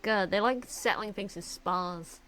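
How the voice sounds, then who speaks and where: Slow-talking woman streamer, chatty. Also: medium pitch, a bit bright, soft background music. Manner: laughing, chatty, reacts aloud. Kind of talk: casual gameplay commentary.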